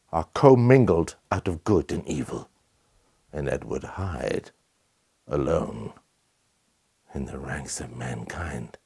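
Guy playing a creepy victorian doctor, low raspy voice, really slow and dramatic. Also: mellow, full character voice. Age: middle-aged. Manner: grave, theatrical, deliberate. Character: haunted, sinister Victorian gentleman doctor, middle-aged. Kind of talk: dramatic monologue from gothic fiction.

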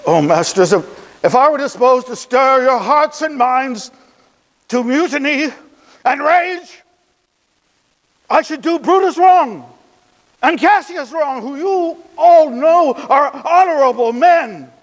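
In a long, reverberant hall, an impassioned Roman funeral orator in a classical stage monologue. His voice sits extremely high for a man and moves at a slow, deliberate pace. He declaims with weighted pauses, mixing grief with bitter irony. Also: lively intonation, full character voice. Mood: simmering, defiant.

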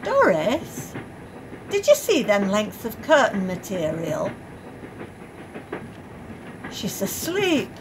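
Slow, tired supporter's wife played by a woman. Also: mid-range voice, huge pitch swings. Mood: wry. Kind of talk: radio play dialogue. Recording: faint train noise behind.

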